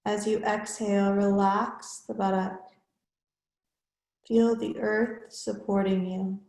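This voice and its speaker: Woman yoga teacher doing guided relaxation, really slow n measured, short phrases w pauses, echoey room sound. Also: medium pitch, level tone, darkish tone.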